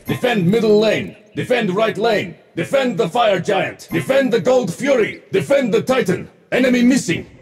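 A man voicing a smug, wisecracking futuristic mech-suit warrior for a video game, in punchy, boastful lines. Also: sits high. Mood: urgent. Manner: boastful, punchy, clipped callouts. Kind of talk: video game voice pack barks.

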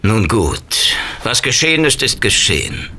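An actor playing a domineering, menacing villain in an audio drama, speaking in a pompous, threatening way. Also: male voice, fairly low pitch, huge pitch swings, measured pace, bright, forceful tone, slight background noise, exaggerated voice. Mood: cold threat. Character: domineering male villain leading vampire women, menacing, imperious.

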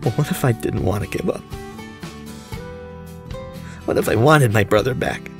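A man's voice: lowish voice, animated, moderate pace, over music. A man acting a distraught, pleading young man. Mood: grieving, desperate. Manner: emotional, pleading, sighing delivery. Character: distraught young man desperate for his missing brother. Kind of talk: amateur audio drama acting.

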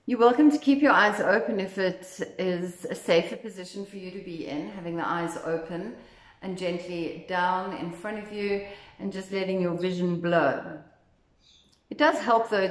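A woman leading a guided meditation as instructor, speaking in a gentle, soothing, unhurried way. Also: on the low side. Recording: echoey, roomy sound.

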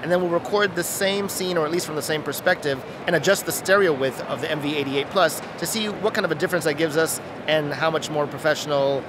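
A man's voice: fairly high pitch, quick pace, hardly any pauses, very noisy. A middle-aged American man vlogging casually into a handheld phone microphone at arm's length inside a huge, busy train station hall. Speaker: product reviewer, vlogger. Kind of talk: casual off-the-cuff vlogging to camera. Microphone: handheld phone rig mic at arm's length. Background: busy station crowd ambience.

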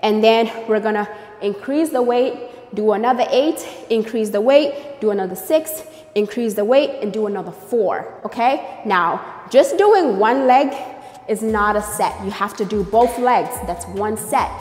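A woman's voice: mid-range voice, measured pace, a little mellow. A young woman coaching a workout in a gym, upbeat and checking in often with "okay?" and "right?". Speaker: fitness coach. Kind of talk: workout tutorial. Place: large gym room with glass walls. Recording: echoey room, distant mic, faint music.

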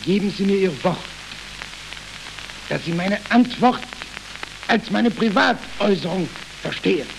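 A man's voice: high voice, animated, a little slow, gravelly, long pauses, pitched above the performer's own voice, full character voice. A man reading the part of an old, worn-out, sceptical general in a stage play, with a hesitant, weighty manner. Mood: hesitant, grave. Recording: noisy, crackling historical archive recording.

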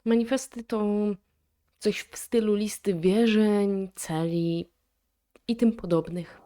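A woman giving casual reaction commentary, reading text aloud and thinking out loud in a chatty, inquisitive way. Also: medium pitch, steady tone, slow pace. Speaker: video creator reacting to analog horror.

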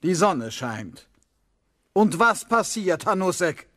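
An absent-minded schoolteacher in a 1970s children's radio play. His high voice lectures slowly and haltingly, often losing the thread. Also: big pitch swings, sharp tone, no echo, clean studio sound, full character voice. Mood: distracted, lecturing.

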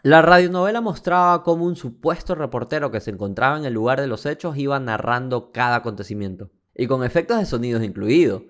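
A man's voice: mid-range voice, quick pace, few pauses, a bit bright. A man with an Ecuadorian accent co-hosting a podcast in casual chat, playful, with exclamations and questions. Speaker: podcast co-host.

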